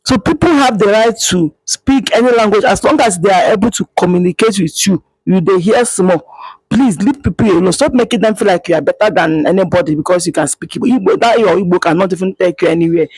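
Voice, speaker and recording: Nigerian woman, vlogger, emphatic and scolding, kept quiet outdoors, raspy tone, dry sound. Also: mid-range voice, quick pace, dry recording, rough recording. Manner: speaking quietly. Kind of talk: impassioned monologue to camera.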